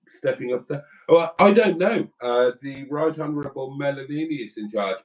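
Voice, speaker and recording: A man's voice: medium pitch, far from the mic, rough recording. A man from Wales co-hosting a live stream, chatting casually and reading viewer comments aloud with rambling asides.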